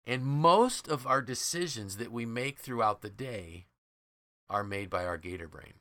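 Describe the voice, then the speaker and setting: A man's voice: medium pitch, expressive, unhurried, pushed and bright, no room sound, studio quality. A man hosting a podcast, explaining ideas from a book in a conversational, emphatic way. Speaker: podcast host.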